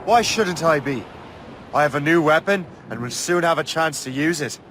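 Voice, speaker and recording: A cocky young Irish sea captain for a video game. His voice sits fairly high, and he talks with the confidence of someone in command. Wind and surf are clearly heard around him. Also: lively intonation, moderate pace, fairly bright tone. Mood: brisk, confident. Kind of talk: video game cutscene acting.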